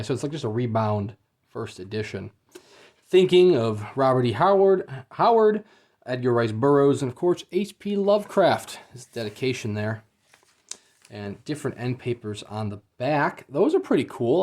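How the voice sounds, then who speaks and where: A man talks through an unboxing in a casual, unscripted way. His delivery is understated and a little hesitant, with a mildly let-down tone. His voice sits at an average pitch. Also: darkish tone. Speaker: book unboxing reviewer. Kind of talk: casual unscripted product review.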